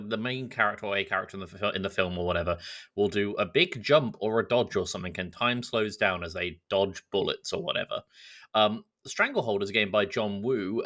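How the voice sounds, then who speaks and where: A man's voice: on the low side, pushed and bright. A man co-hosting a podcast in a casual, conversational style. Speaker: podcast co-host. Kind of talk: casual chat.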